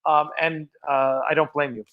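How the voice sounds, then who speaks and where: A man's voice: fairly high pitch, level tone, moderate pace, narrow, tinny audio. A man hosting a casual livestream, chatting in a rambling, informal way. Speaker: host of a fan production livestream. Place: film set of a starship bridge.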